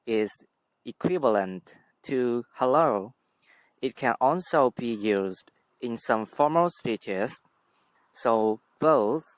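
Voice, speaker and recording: A man from Vietnam with a Vietnamese accent, a native speaker teaching his language in English with careful, deliberate explanations. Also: mid-range voice, dry recording, rough recording. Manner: careful, deliberate, spells out words. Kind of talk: scripted language lesson.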